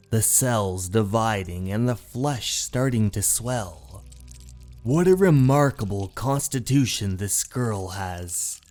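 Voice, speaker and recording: A man's voice: lowish voice, darkish tone. A man playing an obsessed, unhinged painter in a horror story, narrating in a theatrical, brooding manner. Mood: deranged. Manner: slow, theatrical, brooding, with anguished outbursts. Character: obsessed painter, unhinged, infatuated, confessing a murder. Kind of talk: dramatic first-person horror story narration.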